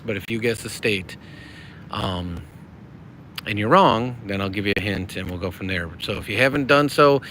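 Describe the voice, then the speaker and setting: A man's voice: lowish voice, normal speed, a bit bright, a little hiss or hum. A middle-aged American man hosting a casual livestream, rambling in a friendly way and reading chat messages aloud. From United States. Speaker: livestream host.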